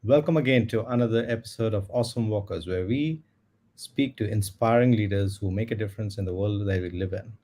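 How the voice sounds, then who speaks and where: A man's voice: on the low side, fairly quick, dark tone. A man hosting an interview podcast, asking questions in a friendly, slightly hesitant way. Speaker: podcast host.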